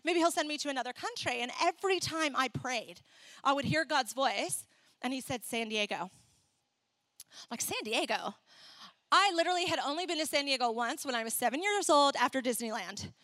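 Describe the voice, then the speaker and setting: A young adult woman who grew up in Ecuador, preaching and telling her own story in a candid, animated way. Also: high-pitched, fairly quick, dry recording. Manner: animated, candid storytelling with laughs and acted asides. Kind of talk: sermon with personal story.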